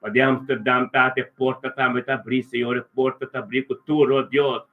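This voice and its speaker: A man's voice: medium pitch, flat delivery, brisk. Phone-like recording, man praying. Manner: repetitive, invocatory, punctuated by refrains. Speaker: prayer leader. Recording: thin narrow phone-like sound.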